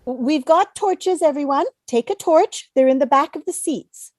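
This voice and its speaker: Officious hostess read by a woman, polite yet firm, high soft-toned voice, close dry sound. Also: a little slow, no room sound. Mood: brisk. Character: officious, orderly bus hostess managing passengers. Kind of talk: amateur online table read.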